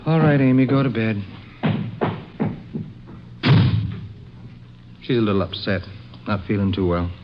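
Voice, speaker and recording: A man's voice: lowish voice, lively intonation, quick pace, close to an everyday voice. A man playing an eager, tense suburban husband in a 1950s radio drama. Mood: strained. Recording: muffled old radio, hissy background.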